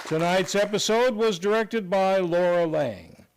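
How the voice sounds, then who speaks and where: A man's voice: sits high, a little slow, a faint crowd, slight background noise, poor audio. A man announcing a scripted radio show sign-off in a formal, polished way. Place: live on a theater stage.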